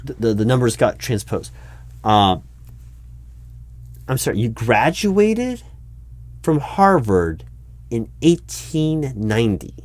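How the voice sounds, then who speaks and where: A man playing a politely incredulous recruiting manager in an improvised audio roleplay, speaking aloud in a halting, stammering way and repeating words. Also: mid-range voice, very animated, no echo. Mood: puzzled, incredulous. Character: flustered, politely incredulous male recruiting manager interviewing someone. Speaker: job interviewer. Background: computer keyboard typing.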